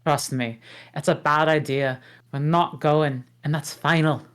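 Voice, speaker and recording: Woman voicing a grumpy male ogre, low voice, sarcastic, gruff, table read. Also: steady tone, a little slow. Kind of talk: table read of a film script.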